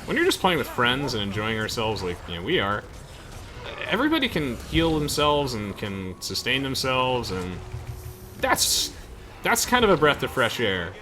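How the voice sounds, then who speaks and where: A man's voice: mid-range voice, wildly swooping pitch, normal speed, pushed and bright, slight background noise. A young man giving casual video game commentary to friends as the host of a playthrough. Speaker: let's play host. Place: game voice chat with music behind.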